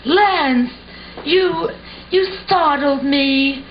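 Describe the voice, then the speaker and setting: Puppet show widow played by a woman: high, very slow, hesitant, lonely and gentle; muffled recording. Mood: grateful, wistful. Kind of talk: amateur puppet show acting. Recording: muffled home recording with hiss.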